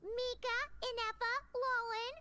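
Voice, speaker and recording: A woman's voice: very high-pitched, little pitch movement, a little slow, heavy background noise. A woman voicing a tiny, childlike floating fairy guide in an anime game, cheeky and easily exasperated. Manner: refers to self in third person, cheeky.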